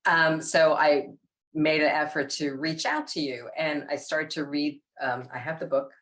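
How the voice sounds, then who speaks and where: A woman with a low-pitched voice and a New York accent chats as a podcast co-host. She tells things casually and colloquially, in an animated way, dropping in wry asides. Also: measured pace. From New York, USA. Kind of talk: casual conversational storytelling.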